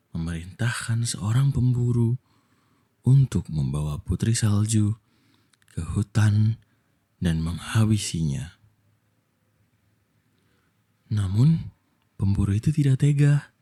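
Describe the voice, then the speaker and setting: A young Indonesian man with a soft, breathy voice, telling a bedtime story in an intimate ASMR style, playful and affectionate like a boyfriend. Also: lowish voice, lively intonation, slow pace, long pauses.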